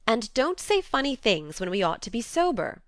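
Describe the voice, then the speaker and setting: A woman's voice: on the high side, big pitch swings, normal speed, dry recording, pitched above the performer's own voice. A woman voicing a blunt, hearty, tomboyish young woman who admonishes earnestly, as character dialogue in an audiobook.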